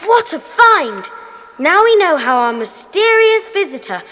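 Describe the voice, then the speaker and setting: An actress playing an eager, excitable young child in a children's audio drama. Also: female voice, extremely high pitch, measured pace, big-room sound, full character voice. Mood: excited.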